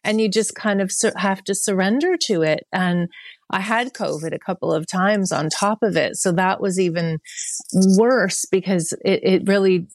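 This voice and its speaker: A woman's voice: medium pitch, normal speed, no room sound. A woman musician being interviewed, chatting casually and rambling with lots of fillers. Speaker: musician guest.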